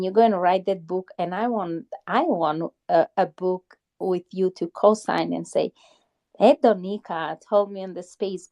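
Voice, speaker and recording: Woman hosting a spiritual online chat. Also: lowish voice. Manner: warm, effusive, uplifting. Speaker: host of an audio chat room. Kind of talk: spiritual encouragement in casual chat. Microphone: phone or headset in an online audio room.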